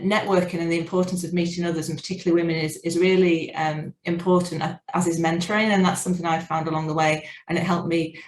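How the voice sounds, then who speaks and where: Low-voiced woman in a roomy space. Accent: non-local, unplaced. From not from Northern Ireland. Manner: measured, with frequent restarts and fillers. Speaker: guest, business organisation director. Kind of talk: casual interview answers.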